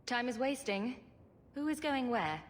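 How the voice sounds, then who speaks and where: An actress playing a wry, self-assured young mercenary in a video game, with a dry, sardonic delivery. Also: female voice, on the high side, expressive, unhurried. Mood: impatient.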